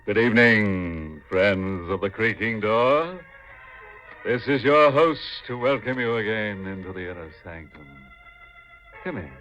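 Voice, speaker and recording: Slow, low-voiced ghoulish radio horror host. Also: male voice, lively intonation, fairly bright tone. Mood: macabre glee. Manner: theatrical, drawn-out, mock-courteous. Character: ghoulish male horror host, slyly jovial, macabre punster. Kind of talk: 1950s radio mystery hosting and narration. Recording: muffled 1950s radio recording with music.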